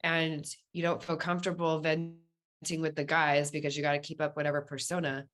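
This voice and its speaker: Low-voiced woman, casual podcast guest. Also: little pitch movement, fairly quick, a bit bright. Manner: candid, informal, explanatory. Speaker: podcast guest, police dispatcher. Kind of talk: casual conversational interview.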